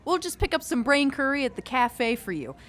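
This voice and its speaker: A woman plays a breezy, bumbling witch showing a newcomer around in a radio play. Her voice is fairly high and very animated, with a chatty, theatrical delivery. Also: fairly quick. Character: breezy, excitable, inept female witch guide. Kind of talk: audio drama acting.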